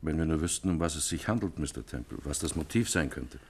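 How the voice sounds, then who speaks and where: A formal, deferential policeman in an old radio play, reporting in a sober, matter-of-fact way. His voice is extremely low, with a deep and heavy bottom. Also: expressive, fast, a little mellow, a little hiss or hum. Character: formal male police inspector. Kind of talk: 1950s radio play acting.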